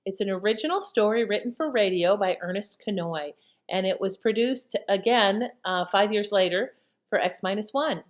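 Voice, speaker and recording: A woman's voice: medium pitch, expressive, normal speed. Casual, rambling woman host. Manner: rambling, conversational, trailing off. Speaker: host of an old-time radio channel. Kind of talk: casual chatty commentary after a programme.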